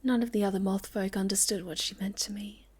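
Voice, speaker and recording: A woman playing a sweet, shy young moth girl in an ASMR roleplay, speaking gently and hesitantly, often dropping to a breathy near-whisper. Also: medium pitch, steady tone, a little fast, natural voice. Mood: tender, wistful. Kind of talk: ASMR roleplay, soft intimate storytelling to the listener.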